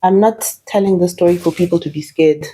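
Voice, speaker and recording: A woman's voice: on the low side, a little fast, warm tone, breathy edge. A woman in her thirties from Zimbabwe whose first language is Shona, speaking as an interview guest with many fillers and restarts.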